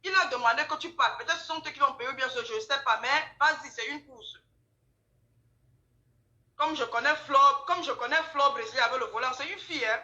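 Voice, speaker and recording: A woman telling gossip in a casual chat, animated and repeating phrases for emphasis. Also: sits high, fairly quick, dull audio. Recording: echoey room.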